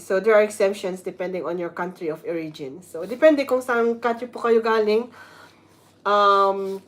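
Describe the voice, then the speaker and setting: A woman's voice: mid-range voice, low-quality recording. A woman with a Filipino accent, a nurse giving casual advice in a vlog, switching between Tagalog and English and reading some parts aloud. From Philippines. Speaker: vlogger, nurse.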